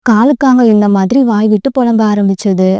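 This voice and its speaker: A woman's voice: mid-range voice, a little fast, dark tone, no echo. A woman narrating a children's moral story as a scripted voice-over.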